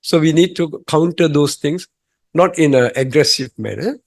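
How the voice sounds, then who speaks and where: Earnest young monk from Singapore. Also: male voice, a little high, creaky. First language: English. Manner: earnest, emphatic, halting with fillers. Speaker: monk asking a question from the audience. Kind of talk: audience comment at a talk.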